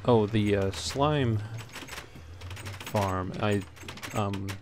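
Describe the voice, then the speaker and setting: A man giving casual gameplay commentary in a halting way, as if thinking aloud while he plays. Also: on the low side. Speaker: let's player, host. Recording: clear background noise.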